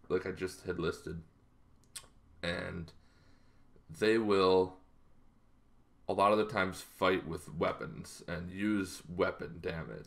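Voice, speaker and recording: A man in his thirties giving a casual, rambling explainer to camera, halting as he goes, in a small bedroom. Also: low voice, gravelly, fairly bright tone. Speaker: host of a tabletop roleplaying channel.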